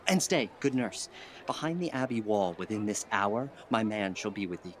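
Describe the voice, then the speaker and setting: A man's voice: on the low side, moderate pace, a little hiss or hum, natural voice. A man acting an earnest, courteous young nobleman in love, in formal classical Shakespearean stage style. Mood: hopeful, urgent.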